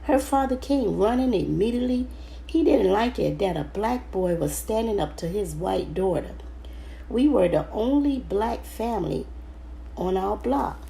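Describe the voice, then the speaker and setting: Woman, children's read-aloud, slow delivery, soft-edged mellow tone, slightly halting, faint background noise. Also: mid-range voice, animated, creaky, poor audio. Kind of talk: storybook read-aloud narration, first person.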